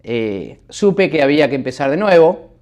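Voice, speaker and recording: A middle-aged man from Buenos Aires with an Argentine accent, a businessman calmly telling his life story in an interview. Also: fairly high pitch, moderate pace. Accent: Argentine Spanish. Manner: calm, reflective, hesitant with fillers. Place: office.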